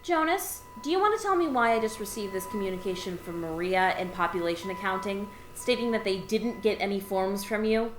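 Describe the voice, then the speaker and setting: Woman playing a sarcastic alien office worker, scolding, weary, lively pitch swings, roomy echo. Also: fairly quick, few pauses, a little hiss or hum, lightly acted. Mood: exasperated. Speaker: senior coworker training a new hire. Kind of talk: comedic audio drama acting.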